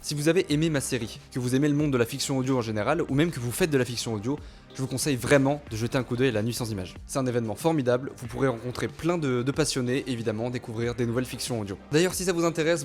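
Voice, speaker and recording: Male video creator, quick scripted delivery, music bed underneath. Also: medium pitch. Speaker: video creator, audio fiction author. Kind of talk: scripted vlog narration.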